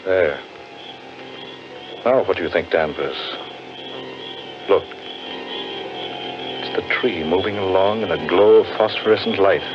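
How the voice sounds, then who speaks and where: Foreboding low-voiced man, lost in haunted woods, ominous radio horror delivery, hissy vintage recording. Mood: grim insistence. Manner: ominous, theatrical, deliberate. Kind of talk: 1940s radio horror acting. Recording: muffled, noisy 1940s radio recording.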